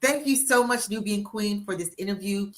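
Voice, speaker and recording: A woman in her sixties hosting a live-stream interview, energetic and encouraging with a motivational push. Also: medium pitch, normal speed. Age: 60s. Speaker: interviewer and book coach.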